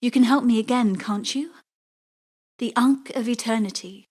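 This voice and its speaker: An actress playing a bitter, proud, quietly grieving woman exile in a fantasy video game, speaking in a measured, wry storytelling way. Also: fairly high pitch, no room sound, studio quality, close to an everyday voice. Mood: pleading. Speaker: non-player character in a fantasy action game. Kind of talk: scripted dramatic game dialogue.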